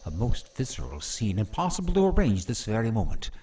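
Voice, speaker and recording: A man playing a cruel, scheming prince in a fantasy radio play, with a mocking, theatrically menacing and drawn-out delivery. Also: on the low side, huge pitch swings, dark tone, slightly rough, distant mic, heavily acted. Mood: sadistic relish. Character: cruel scheming prince, gloating usurper brother.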